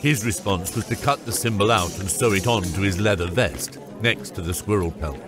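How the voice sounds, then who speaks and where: A male audiobook narrator tells an epic fantasy story. His voice is fairly low and the delivery is scripted third-person narration, with music faintly behind it. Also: normal speed. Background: background music.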